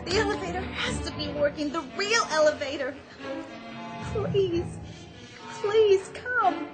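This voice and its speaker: A very high-voiced, frightened young woman in a radio drama. She stammers and pleads breathlessly, her words coming slowly, with music playing underneath. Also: lively intonation. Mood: frantic pleading. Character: frightened young woman trapped alone in a department store.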